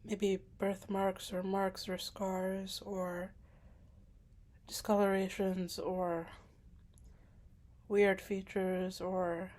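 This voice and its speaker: A hesitant young woman speaks to camera in a casual, personal monologue. Her speech is self-conscious and full of filler words. She keeps her voice soft, sometimes sinking to a whisper. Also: medium pitch, little pitch movement, very slow, pauses often. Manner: soft-spoken, at times dropping to a whisper. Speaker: vlogger.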